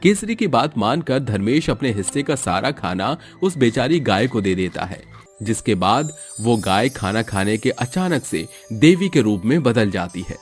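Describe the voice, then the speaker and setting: A man's voice: mid-range voice, very animated, moderate pace, breathy edge, faint music behind. A man narrating a scripted moral story as a storyteller.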